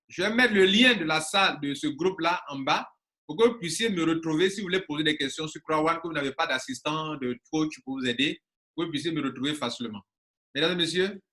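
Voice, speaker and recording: A man's voice: on the high side, bright, forceful tone. A man in his forties, an online business coach talking casually to his viewers and stressing points by repeating phrases. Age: 40s.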